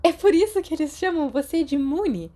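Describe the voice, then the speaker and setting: A woman with a Brazilian Portuguese accent voicing a sharp, bossy teenage schoolgirl in an audiobook, emphatic and stern. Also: sits high, animated, brisk, voice pushed up. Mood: astonished.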